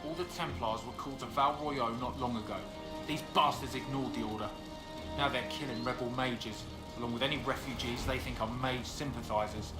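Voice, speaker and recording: An actor playing a stern, plain-spoken soldier with an embittered streak in a fantasy video game. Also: male voice, medium pitch, steady tone, a little fast, roomy sound, over loud music, natural voice. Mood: indignant. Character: stern, embittered male soldier, protective of common folk. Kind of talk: dramatic video game dialogue.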